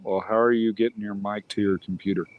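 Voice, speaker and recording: A man in casual chat, giving quick offhand tech advice. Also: on the low side, fairly even intonation, narrow, tinny audio.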